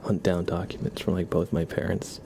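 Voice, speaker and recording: A man hosting an ASMR livestream, chatting casually in a soft, whispery murmur and gently repeating his phrases. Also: deep voice, completely flat delivery, moderate pace, vocal fry, noisy background.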